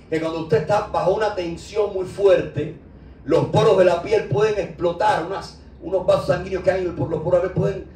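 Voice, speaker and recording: Cuban male preacher, high voice, fervent and conversational, roomy far-off recording. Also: dark tone, a little hiss or hum. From Cuba. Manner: impassioned, with loose conversational asides. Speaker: spiritual teacher. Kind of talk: informal sermon. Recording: roomy echo, distant mic.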